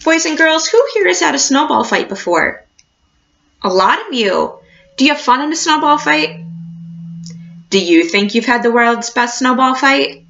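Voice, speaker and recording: A woman with a fairly high, very lively voice reads a storybook to young children in a teacher's encouraging way. Her delivery is expressive and instructive, and the recording sounds slightly dull and lo-fi. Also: moderate pace, creaky. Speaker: teacher reading a picture book aloud to young children. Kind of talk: storybook read-aloud with questions to a class.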